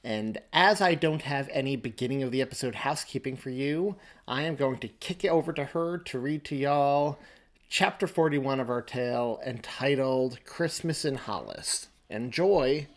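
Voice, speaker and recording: A man's voice: medium pitch, normal speed. A man speaking as a podcast host, giving a scripted introduction to an installment.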